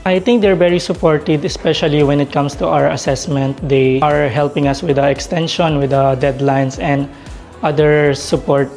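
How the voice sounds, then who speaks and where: A woman from the Philippines speaks earnestly about her college and future. Her voice sits low, with light music underneath. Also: fairly even intonation, normal speed, a little mellow. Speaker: student. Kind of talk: interview answers.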